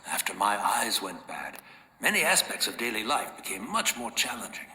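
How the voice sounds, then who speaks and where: A frail old servant with a sharp, bright voice that rises and falls freely. He is courteous, formal and self-effacing, in a video game style, and sounds set in a roomy, echoing space. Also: on the low side, sing-song, moderate pace, a little hiss or hum, exaggerated voice. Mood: wistful. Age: older. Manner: formal, deferential, rambling.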